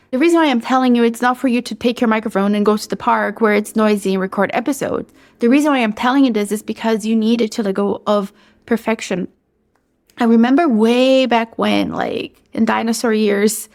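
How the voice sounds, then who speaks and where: A woman in her thirties with a Brazilian accent, hosting a solo podcast and giving chatty, upbeat advice full of "like"s. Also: mid-range voice. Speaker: podcast host.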